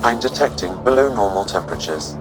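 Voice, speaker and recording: Male actor, calm video game AI, low register, measured status reports, music behind. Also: close to an everyday voice, low-quality recording. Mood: calm, informative. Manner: measured, clipped announcements. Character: calm onboard ship AI reporting sensor readings.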